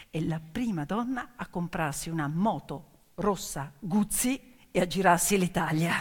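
A woman performing a dramatic theatrical storytelling monologue, emphatic and moving between hushed and declaimed delivery. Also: fairly low pitch. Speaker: stage actress and storyteller. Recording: long reverberant hall with music. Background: piano music.

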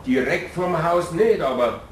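A man's voice: a little high, lively intonation, unhurried, echoey room, recorded from a distance, slight background noise. An actor playing a concerned, officious Bavarian man in a German radio play, insistent and dutiful.

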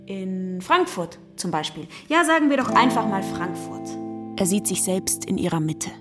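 A woman's voice: medium pitch, very animated, normal speed, over music. A woman giving a scripted dramatic narration in the third person, as the narrator of a stage play.

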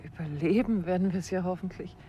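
An actress playing a detective's quick-witted wife in a 1950s radio play, probing and gently reproachful. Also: female voice, on the low side, slight background noise, lightly acted. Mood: thoughtful.

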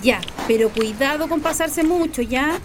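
Radio play: resigned Chilean working-class mother acted by a woman, high-pitched, plain-spoken, light ambience behind. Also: measured pace. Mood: homely. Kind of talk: Spanish-language radio drama acting. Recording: light outdoor ambience noise.

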